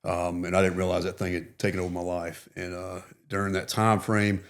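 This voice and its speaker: Brief: middle-aged male guest, deep and even, earnest and candid. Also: a little fast. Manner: earnest, reflective, many "you know" fillers. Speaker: podcast guest, retired Marine. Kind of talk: casual interview.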